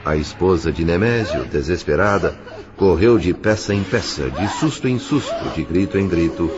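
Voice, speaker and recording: A man's voice: low-pitched, lively intonation, moderate pace. A man with a Brazilian accent playing a solemn spirit narrator in an audio drama, with formal, literary diction. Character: solemn male spirit narrator recounting a case. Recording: slightly muffled, music underneath. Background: background music.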